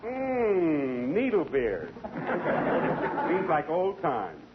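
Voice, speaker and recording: A man's voice: fairly high pitch, wildly swooping pitch, very slow, heavy background noise. A man playing a tavern customer in a 1940s radio comedy, easily amused. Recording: muffled 1940 radio recording with laughing audience.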